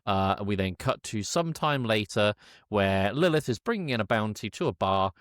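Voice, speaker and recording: A man recapping a film casually as a podcast co-host, halting and correcting himself, with an irreverent edge. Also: fairly low pitch, expressive, a bit bright, no room sound, studio quality. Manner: halting, hesitant, self-correcting delivery.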